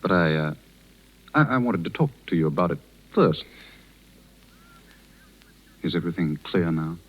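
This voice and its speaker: An actor playing a kind, reserved gentleman with a hesitant manner in a 1930s radio drama. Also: deep voice, animated. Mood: somber, gentle. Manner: hesitant, halting. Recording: muffled 1930s radio broadcast recording.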